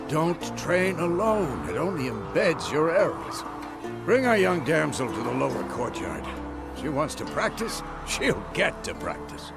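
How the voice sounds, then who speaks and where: An actor playing a strict, gruff old male witcher mentor in a video game, grumbling and scolding. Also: on the high side, huge pitch swings, measured pace, loud music behind the voice, heavily acted. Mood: stern. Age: older. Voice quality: gravelly. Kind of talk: video game cutscene acting.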